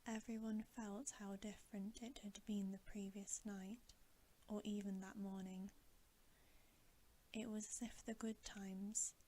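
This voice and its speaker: A woman reading a bedtime story as ASMR in a breathy whisper, slow and hushed, with long gentle pauses. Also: mid-range voice, level tone, slight background noise.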